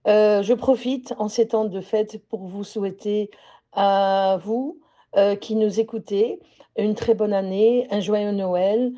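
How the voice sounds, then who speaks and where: A woman teacher from Algeria with an Algerian accent, giving a measured personal message with hesitant pauses. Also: medium pitch, steady tone, dark tone, highs cut off.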